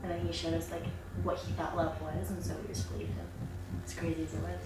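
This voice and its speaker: A woman's voice: low-pitched, big pitch swings, fast talker. A female actor chiming in casually in a making-of interview, using many likes. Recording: echoey room, distant mic, noise.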